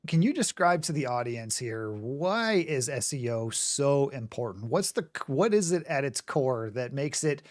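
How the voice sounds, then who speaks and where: A man's voice: medium pitch, sing-song, a little slow, hardly any pauses. A man hosting a business podcast, introducing his guest and asking long, winding questions in an upbeat way. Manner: upbeat, rambling questions with restarts. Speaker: podcast host.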